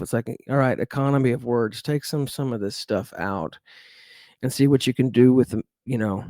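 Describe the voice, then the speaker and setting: A man's voice: medium pitch, darkish tone, no echo, clean studio sound. A middle-aged man from Texas with a Texan accent, a guest musician chatting easily in an interview, rambling with fillers and restarts. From Dallas, Texas. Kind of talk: casual interview chat.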